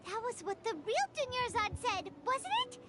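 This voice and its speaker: A woman voicing a tiny, chatty, excitable fairy-like companion girl in a video game. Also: extremely high pitch. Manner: excitable, exclaiming, quick reactions. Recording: game audio with loud music behind.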